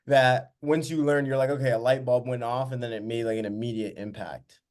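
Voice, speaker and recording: A man's voice: medium pitch, steady tone, fairly quick, a little mellow. A man hosting an interview and coaching, blunt and casual, with slang. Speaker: host, business coach.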